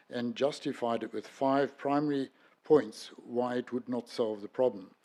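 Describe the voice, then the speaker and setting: A man's voice: mid-range voice, darkish tone. An Australian man, a resident and lead petitioner, reading formal questions in a precise, aggrieved tone. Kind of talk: reading prepared public questions.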